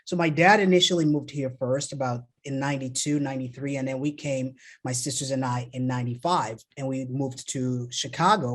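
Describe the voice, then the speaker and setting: A man in his thirties from Nigeria, a podcast guest telling his life story in a relaxed, friendly way. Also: medium pitch. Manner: relaxed and friendly, laughs easily. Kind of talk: casual storytelling.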